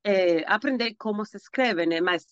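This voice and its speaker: A woman's voice: medium pitch, normal speed, no echo. A woman from the Dominican Republic whose first language is Spanish speaks as an interview guest. She talks hesitantly and searches for words as a learner. The recording is dry and a little dull. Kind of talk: casual interview.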